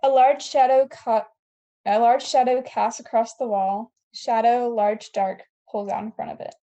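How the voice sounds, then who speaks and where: A woman's voice: on the high side, fairly even intonation, measured pace, mellow. A woman reading scene headings and stage directions as narrator of a table read, on a dull lo-fi recording.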